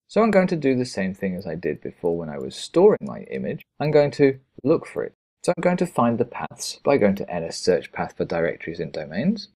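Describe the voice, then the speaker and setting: A man's voice: low voice, a little mellow, slightly rough. A man narrating a step-by-step programming screencast as an instructor, in a methodical, explanatory way.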